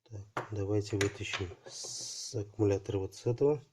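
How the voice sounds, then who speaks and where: A man hosting a casual unboxing video, talking haltingly and thinking aloud while his voice is affected by a cold. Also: fairly low pitch, noisy background. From Russia. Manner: halting, thinking aloud, many fillers like "so" and "there". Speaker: channel host unboxing parcels.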